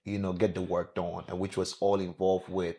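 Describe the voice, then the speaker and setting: Low-voiced Cameroonian man being interviewed. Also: little pitch movement, normal speed, dark tone. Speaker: podcast guest. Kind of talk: casual interview chat.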